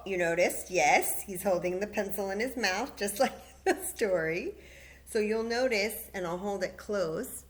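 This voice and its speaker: A woman hosting a library story time, guiding children patiently through a paper plate craft step by step. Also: mid-range voice.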